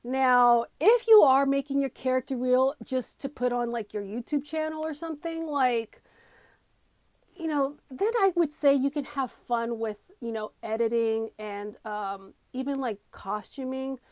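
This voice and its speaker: A woman's voice: sits high, a little slow, darkish tone, dry recording. A woman giving a casual, unscripted tutorial in a chatty, emphatic, self-deprecating way, recorded on a headphone mic. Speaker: comedy coach, video creator. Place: small cramped room. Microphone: wired or phone headphones mic.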